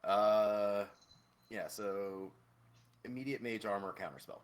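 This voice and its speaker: A man's voice: fairly low pitch, slow pace, clear, resonant tone. A man chatting casually as a player in a tabletop game.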